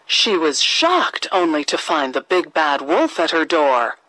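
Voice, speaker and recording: A woman narrating a scripted children's fairy tale with deliberate, expressive phrasing for young listeners. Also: sits low, poor audio. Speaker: storyteller.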